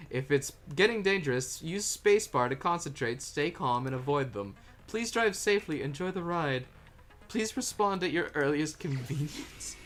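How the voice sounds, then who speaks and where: A person chatting casually while playing a game and reading out its text, animated, sweary and easily amused. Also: mid-range voice, moderate pace, faint music behind. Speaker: player and fan dub voice reader. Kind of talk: casual gaming chat mixed with reading tutorial text.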